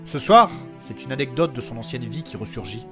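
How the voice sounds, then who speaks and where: A man's voice: medium pitch, expressive, a little fast. A man delivering scripted narration as the narrator and creator of an audio fiction podcast. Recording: faint music behind the voice.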